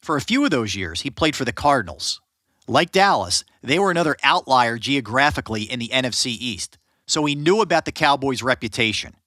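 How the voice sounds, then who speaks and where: A man's voice: mid-range voice, lively intonation, a little fast, bright, forceful tone, no echo, studio quality. A man narrating a sports history podcast in a scripted style, joking with his co-host.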